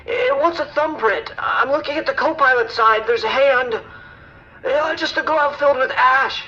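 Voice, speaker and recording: Through a lo-fi radio link comes a man playing a panicked airline passenger. The voice is high, stammering and helpless, in an audio drama style. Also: moderate pace, bright, forceful tone. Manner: hesitant, stammering, alarmed. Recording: tinny lo-fi cockpit radio call.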